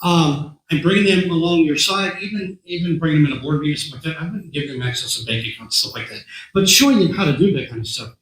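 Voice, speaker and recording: A man with a somewhat high, hoarse voice speaks to a meeting in a conversational way. He repeats words and clears his throat often. The sound comes from an echoey meeting room. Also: fast talker, a bit bright. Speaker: guest speaker. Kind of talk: talk to a meeting.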